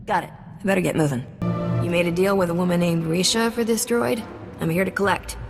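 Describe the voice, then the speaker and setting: A woman's voice: lowish voice, brisk. A woman playing a sassy smuggler captain in a video game, breezy, dry and a little flirtatious. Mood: wry, businesslike. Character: sassy, wry female spaceship smuggler captain. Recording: reverberant sound under clear music.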